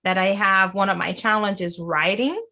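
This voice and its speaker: Bright-voiced enthusiastic woman host. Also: mid-range voice, moderate pace. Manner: warm enthusiastic, loose grammar with restarts. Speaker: podcast host. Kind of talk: casual interview.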